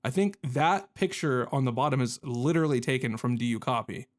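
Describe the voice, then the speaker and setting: Male gamer, mid-pitched voice, casual playthrough commentary, rambling, jokey, goofy mild exclamations. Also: a little fast. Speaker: gamer hosting a playthrough video. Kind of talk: casual, reactive gameplay commentary.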